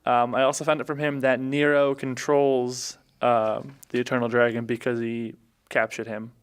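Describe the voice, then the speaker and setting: A man casually recapping a game adventure as a podcast player, often halting as he searches his memory. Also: mid-range voice, little pitch movement, clean tone.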